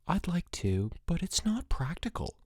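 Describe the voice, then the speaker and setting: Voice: mid-range voice, unhurried, dry recording, pitched above the performer's own voice. A narrator voicing a dry, unflappable troublemaker boy at summer camp in a story, wearily sarcastic. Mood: wry. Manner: deadpan, weary, mock-modest.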